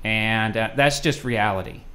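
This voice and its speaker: A man's voice: fairly low pitch, unhurried, sharp tone. An American man interviewing a guest as podcast host, informal and conversational, prompting with short questions. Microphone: podcast microphone on a stand.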